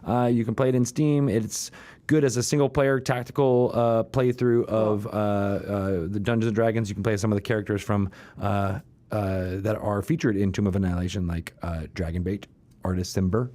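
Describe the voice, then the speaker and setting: A man hosting a podcast, giving casual announcements and banter in a halting, rambling way, often correcting himself. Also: on the low side, steady tone, mellow. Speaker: podcast host.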